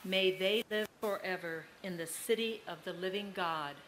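Mature female reader, slightly low voice, formal intercession at a lectern, light noise. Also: normal speed, a bit bright, poor audio. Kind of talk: formal read prayer. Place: large tent with congregation. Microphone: gooseneck lectern microphone.

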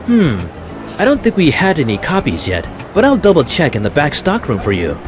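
Friendly, very lilting young shop clerk. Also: male voice, fairly high pitch, wildly swooping pitch, normal speed. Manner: polite, helpful. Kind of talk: visual novel voice acting. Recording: music playing behind.

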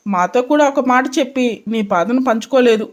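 Woman acting a tender, fairly high-voiced grandmother. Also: lively intonation, brisk, natural voice. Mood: tender, hurt. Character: tender, doting elderly grandmother.

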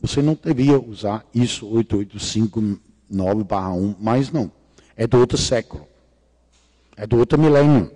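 A man's voice: on the low side, moderate pace, warm tone. A middle-aged man from Denmark speaking Portuguese with a Danish accent, giving a jokey, irreverent conference talk with casual swearing and grammar slips. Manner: non-native grammar slips. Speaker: lecturer. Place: large lecture hall. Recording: reverberant hall.

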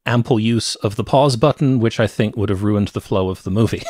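A middle-aged man co-hosting a podcast, telling stories about movies in a casual, deliberate way. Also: on the low side, darkish tone, no room sound, clean studio sound. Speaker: podcast co-host. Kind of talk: casual movie review chat. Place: cluttered home room with shelves. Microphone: close podcast mic with headset.

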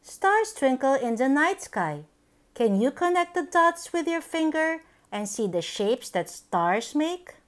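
A woman reading a picture book aloud for young children, with simple, deliberate, expressive phrasing. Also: high-pitched. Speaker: children's book reader, channel host.

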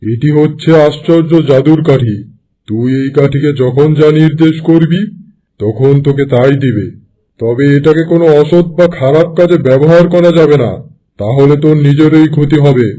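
A man's voice: a little high, normal speed, mellow, natural voice, rough recording. A man playing a wise, benevolent holy hermit in a cartoon fairy tale, solemn and instructive. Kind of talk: Bengali animated fairy-tale acting.

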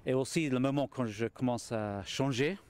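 A man gives interview answers at a medium pitch. He speaks haltingly, often stopping to search for words. He is outdoors with a mic held out to him. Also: darkish tone. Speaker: actor being interviewed. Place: outdoors in front of a large mansion. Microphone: small mic held out by interviewer.

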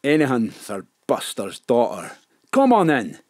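Shifty gangster safecracker, cocky slangy talk, slow and swoopy, audio drama. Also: mid-range voice, huge pitch swings, darkish tone, no room sound, clean studio sound. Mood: surprised, warm. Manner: rough slangy criminal talk.

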